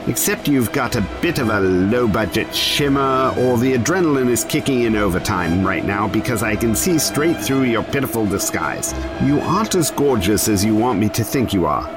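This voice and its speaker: Wry, lively-voiced man. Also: mid-range voice, normal speed, hardly any pauses, music in the background. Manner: wry, sardonic inner monologue. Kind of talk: audio drama acting.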